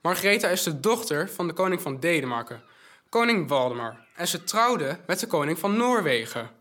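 An actor playing a bright, polite young orphan messenger boy who eagerly passes on news in an audio drama. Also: a little high, very animated, normal speed. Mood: informative, keen. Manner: polite, informative, recites news in detail.

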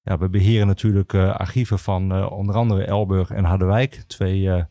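A man explains things as an interview guest. His voice is fairly low and soft-edged, and he talks hesitantly in a measured way, recorded very dry. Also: little pitch movement, no room sound. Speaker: guest, archivist and historian.

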